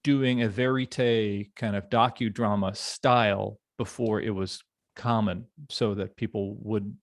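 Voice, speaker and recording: A man hosting a podcast in a casual discussion style, with halting, hesitant phrasing. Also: lowish voice, steady tone, dry recording, studio quality. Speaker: podcast host.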